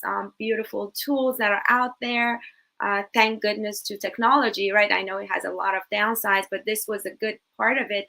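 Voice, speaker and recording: A woman's voice: mid-range voice, creaky, sharp tone, rough recording. A woman from Iran telling her personal story on a webinar panel, earnest and hesitant with frequent fillers.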